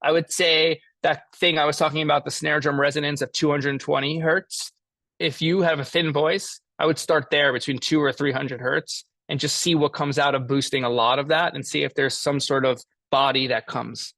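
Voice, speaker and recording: A man giving an informal lesson as an audio engineer, explaining his tools in a halting way as he thinks aloud. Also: a little high, little pitch movement, gravelly, sharp tone, dry recording. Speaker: mixing engineer teaching a class.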